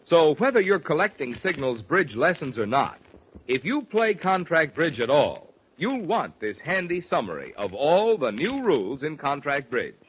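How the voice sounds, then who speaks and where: A male 1940s radio announcer reads in a lively, swooping delivery. His voice is fairly high, and the vintage broadcast sounds muffled. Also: normal speed, a little hiss or hum. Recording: dull 1940s radio recording. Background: faint music.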